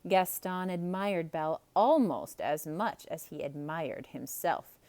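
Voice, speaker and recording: A woman's voice: sits low, slow pace, a little mellow, no echo. A woman storyteller narrating a children's tale as a theatrical read-aloud.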